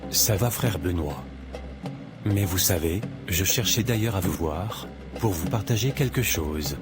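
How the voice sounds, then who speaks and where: Man doing a worried young guy, very low voice, earnest, cartoon. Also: expressive, a little slow, music in the background. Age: young adult. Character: troubled young man, law student.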